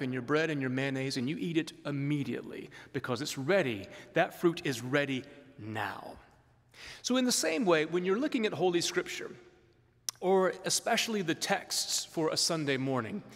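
Middle-aged male priest preaching in reverberant church. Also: a little high. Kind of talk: sermon. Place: large stone church, from a pulpit.

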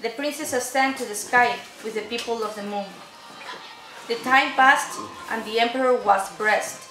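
A woman's voice: a little high, moderate pace, sharp tone. A young woman, a student, reading scripted narration for a puppet show. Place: indoor room beside puppet theatre. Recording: echoey room, music behind.

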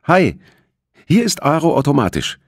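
A man's voice: fairly high pitch, wildly swooping pitch, moderate pace, dry recording, studio quality, pitched above the performer's own voice, heavily acted. A man voicing a cheeky teenage boy who delivers a flippant, clipped voicemail greeting in an audiobook.

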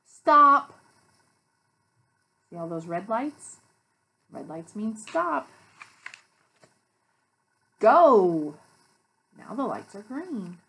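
A woman's voice: medium pitch, very animated, measured pace, gravelly, poor audio. An American woman in her thirties, a preschool teacher reading a picture book aloud to young children in a sing-song, emphatic way. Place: small indoor room with painted block walls.

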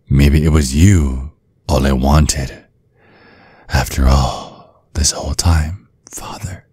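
A man playing a seductive, possessive vampire in an intimate ASMR roleplay, husky and breathy, with a teasing, menacing drawl that often sinks to a whisper. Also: extremely low pitch, a little mellow, no echo, full character voice. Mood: possessive, gloating. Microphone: close, dry microphone.

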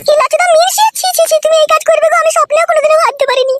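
A performer voicing a shrill, scolding, indignant young village woman in a comic cartoon, with a rural West Bengal accent. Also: steady tone, very fast, a bit bright, dry recording. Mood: scolding, shocked. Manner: scolding, accusing. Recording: noticeable background noise.

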